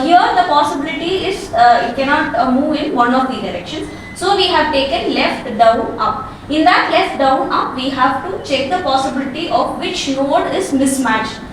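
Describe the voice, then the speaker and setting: A woman assistant professor with an Indian accent, explaining step by step in a hesitant, repetitive way, as in a classroom. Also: high-pitched. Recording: very echoey room, distant mic, noise.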